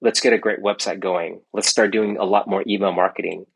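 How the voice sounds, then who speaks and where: A gravelly, somewhat husky man in middle age talks through a casual interview. His voice sits on the low side, and he rambles along, restarting phrases and tagging on "right?" as he goes. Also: steady tone, fairly quick, rough recording. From United States. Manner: rambling with many restarts and tag questions. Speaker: entrepreneur guest.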